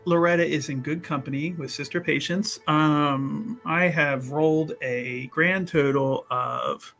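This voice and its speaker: A woman's voice: low-pitched, fairly even intonation, a little slow, fairly bright tone, faint music behind. A woman playing a tabletop roleplaying game, chatting casually in and out of character.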